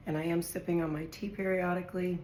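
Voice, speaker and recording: Hoarse low-voiced woman reading tarot. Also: little pitch movement, fast talker, a little mellow. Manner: chatty, thinks aloud while drawing cards. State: hoarse, raspy from a virus. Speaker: tarot reader. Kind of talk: casual tarot reading to viewers. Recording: echoey room with faint noise.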